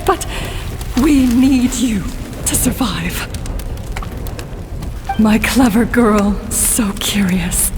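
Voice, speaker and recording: A woman playing a loving, brave mother in a video game drama, speaking with emotional, earnest, drawn-out phrasing. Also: high-pitched. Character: loving, brave mother protecting her young daughter. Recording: reverberant, music bed behind.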